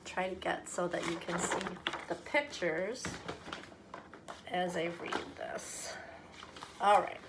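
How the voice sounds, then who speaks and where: A woman from a church talking to children and reading a storybook aloud in a deliberate, expressive way. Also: on the low side, vocal fry, heavy background noise. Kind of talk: children's read-aloud and friendly talk to kids.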